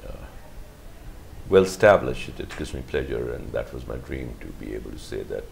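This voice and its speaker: A middle-aged man, a physician answering interview questions in a measured, halting way with many fillers and restarts. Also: rumbling low, animated, vocal fry, a little mellow, a little hiss or hum. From Pakistan. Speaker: guest, physician.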